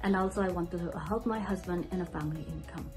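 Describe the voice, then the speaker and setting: A woman's voice: fairly low pitch, darkish tone, faint music behind. Sincere young mother on a close webcam. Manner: sincere, slightly halting. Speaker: mother running an online business. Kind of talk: promotional testimonial.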